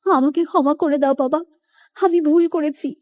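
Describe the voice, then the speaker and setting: An actress playing an emotional, remorseful young daughter in a horror cartoon story. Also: female voice, high voice, normal speed, a little mellow, dry recording, studio quality, natural voice. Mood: remorseful pleading. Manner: pleading, emotional.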